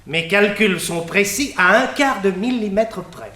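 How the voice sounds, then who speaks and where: An actor playing a polite, precise and confident technical expert in a French radio mystery play, measured and slightly teasing, in a roomy echo. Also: male voice, high-pitched, sharp tone. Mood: knowing, precise.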